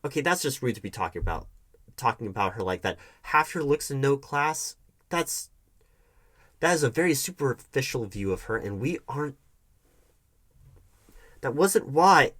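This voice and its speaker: A man playing a defensive, evasive hiring manager arguing with his ex in a one-sided audio roleplay, halting and repeating his words. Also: medium pitch, expressive, fairly bright tone. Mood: defensive, exasperated. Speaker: roleplay performer.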